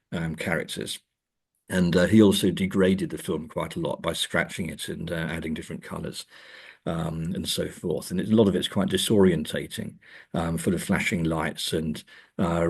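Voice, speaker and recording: A man's voice: low-pitched, darkish tone, slightly rough. A man speaking as an academic guest in an interview, discussing in a conversational, searching way and stressing key words. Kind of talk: conversational literary and film discussion.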